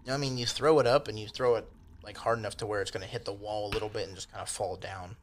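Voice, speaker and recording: A man with a fairly low voice runs a tabletop game as game master. He describes things loosely and colloquially, in a rambling, casual style. There is slight background noise on the recording. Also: steady tone, quick pace.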